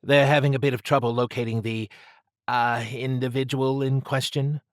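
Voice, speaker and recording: A man's voice: mid-range voice, flat delivery, normal speed, dry recording, clean studio sound, voice pushed up, lightly acted. A man voicing a cautious, polite subordinate agent who reports deferentially in audiobook dialogue. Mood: hesitant.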